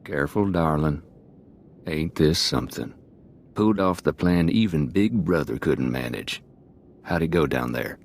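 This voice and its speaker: Very deep, gravelly gangster. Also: male voice, animated, measured pace, full character voice. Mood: cocky. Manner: folksy, slangy swagger. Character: rough, sly male prison gang boss. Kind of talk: video game voice acting.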